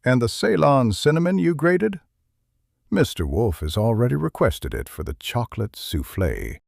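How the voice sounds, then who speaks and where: A man acts as a courteous servant and cook in an audio drama. The voice is gravelly and medium in pitch, with very lively intonation. The manner is formal and polite. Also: moderate pace, no room sound, clean studio sound. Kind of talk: scripted audio drama acting.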